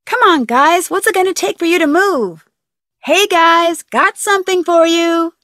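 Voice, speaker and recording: A woman playing an upbeat, curious young female detective in a video game, youthful, perky and earnest, with clear diction. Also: very high-pitched, unhurried, a bit bright, dry recording, studio quality. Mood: pleading, exasperated. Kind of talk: scripted video game voice acting, thinking aloud.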